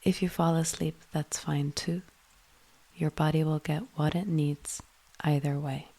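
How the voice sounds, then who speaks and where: A woman leading a guided yoga nidra meditation in a soothing, hushed manner, with phrases often trailing into breath. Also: low-pitched, fairly even intonation, a little slow.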